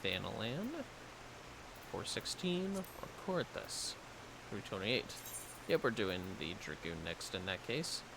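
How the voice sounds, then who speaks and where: Low-voiced man murmuring through a game stream. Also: very animated, slow pace, creaky, heavy background noise. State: a little tired. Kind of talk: casual livestream commentary while playing.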